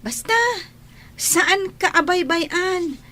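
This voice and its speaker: A loyal older child protecting a sibling in an Ilocano radio drama. The voice is high and youthful, reassuring, with an Ilocano Filipino accent. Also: female voice, drawn-out words, sharp tone, exaggerated voice. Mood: protective.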